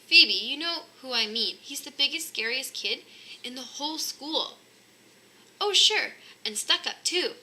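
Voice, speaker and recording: A woman's voice: high-pitched, animated, measured pace, sharp tone, a little hiss or hum, pitched above the performer's own voice, full character voice, rough recording. A woman voicing an outspoken, forgetful young bear girl in a children's storybook. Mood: opinionated.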